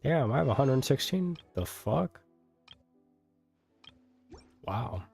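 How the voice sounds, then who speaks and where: A man's voice: medium pitch, sing-song, takes it slowly, creaky, a little mellow, husky. A man streaming a game, chatting casually and musing to himself with some mild swearing. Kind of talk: casual stream chat while playing. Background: faint game music.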